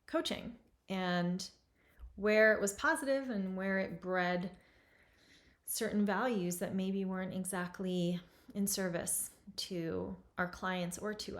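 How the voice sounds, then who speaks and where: A woman in her forties from Lebanon, hosting and introducing an event in a slow, reflective, soft-spoken way. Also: lowish voice. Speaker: host.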